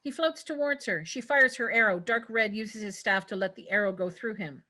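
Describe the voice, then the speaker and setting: A woman's voice: medium pitch, moderate pace, sharp tone. A woman reading stage directions as narrator in a scripted fantasy table read.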